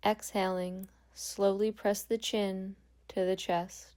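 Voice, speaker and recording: A woman guiding a meditation as a yoga nidra instructor, speaking calmly and gently with long pauses. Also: medium pitch. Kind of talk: guided meditation.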